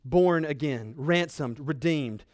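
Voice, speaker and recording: A man preaching a sermon in an earnest, exhorting manner, emphatic, with dramatic pauses and repeated phrases. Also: fairly high pitch, no room sound, clean studio sound.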